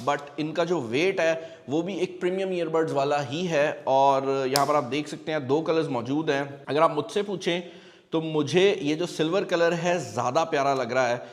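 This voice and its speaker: A man with a high-pitched voice and a Pakistani accent presents a product review to viewers. He explains features in a conversational way, slipping English phrases in often. His voice carries a long reverb from the room. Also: slight background noise. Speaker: tech reviewer and channel host.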